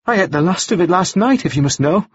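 Audiobook role: fiery, distraught young woman acted by a man, high-pitched, sharp retorts, slightly husky. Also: animated, fast talker, muffled recording. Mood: defiant. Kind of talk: audiobook character acting.

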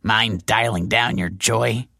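A man's voice: medium pitch, expressive, normal speed, creaky, sharp tone, husky, dry recording, pitched above the performer's own voice, exaggerated voice. A male narrator voicing a wry, world-weary female detective in an audiobook, with a dry, sardonic manner. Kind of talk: audiobook character voice.

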